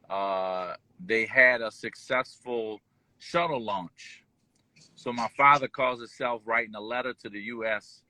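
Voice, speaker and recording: Bright-voiced man telling stories. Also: on the low side, dry recording, poor audio. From born in Kuwait, raised in Detroit and New Orleans. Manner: relaxed, rambling with many fillers. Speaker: guest. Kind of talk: casual interview storytelling. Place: at home, just pulled into driveway.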